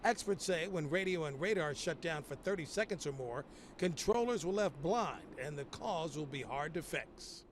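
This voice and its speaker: High-pitched American male news reporter, noisy outdoors. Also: animated, normal speed. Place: outdoors at an airport. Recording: clear outdoor airport and traffic noise.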